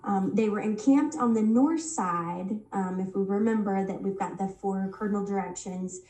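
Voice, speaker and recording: A woman's voice: medium pitch, warm tone, echoey room, recorded from a distance, poor audio. A woman recovering from a head cold, leading a casual Bible study lesson and stopping often on ums. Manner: unhurried, many ums and restarts.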